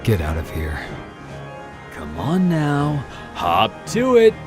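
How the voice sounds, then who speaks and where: A man playing a cruel, mocking hunter god in an audio drama, taunting in a drawn-out, theatrical way. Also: mid-range voice, huge pitch swings. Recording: music bed behind voice.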